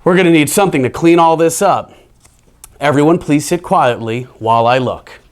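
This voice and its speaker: A man's voice: fairly high pitch, moderate pace, lightly acted. A man voicing a polite, kindly male grade-school teacher from a children's storybook. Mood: flustered.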